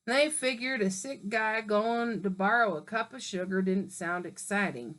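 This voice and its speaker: A woman's voice: medium pitch, unhurried, fairly bright tone, natural voice. A woman reading aloud as a male wolf telling his side, mock-innocent and indignant. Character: wolf, male, grumbling sly self-pitying narrator.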